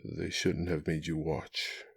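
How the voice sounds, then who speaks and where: A performer voicing a giant, solemn male cat-warrior in an audio drama, low and hushed, speaking gravely in few words. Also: flat delivery, exaggerated voice. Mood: grave, gentle. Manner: slow, terse, grave. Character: giant gentle solemn cat-man warrior, protective and sorrowful.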